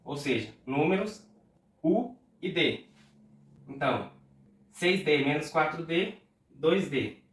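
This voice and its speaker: A man's voice: fairly high pitch, lively intonation, reverberant, recorded from a distance. A man with a Brazilian Portuguese accent, nasal and stuffed up from a cold, patiently working an exam math problem step by step aloud. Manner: patient, talks through arithmetic aloud slowly. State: congested from a cold. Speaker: math tutor.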